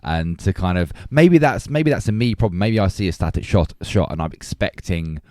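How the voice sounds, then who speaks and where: A man around forty co-hosting a casual film discussion, opinionated and animated in his delivery. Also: low voice, moderate pace, dry recording, clean studio sound. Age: 30s or early 40s. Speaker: podcast co-host.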